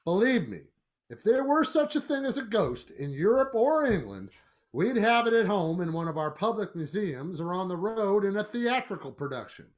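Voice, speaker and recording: High-pitched blustering American businessman. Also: sing-song, moderate pace. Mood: boastful, incredulous. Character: brash, sceptical American businessman father, confident and dismissive. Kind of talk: radio play acting.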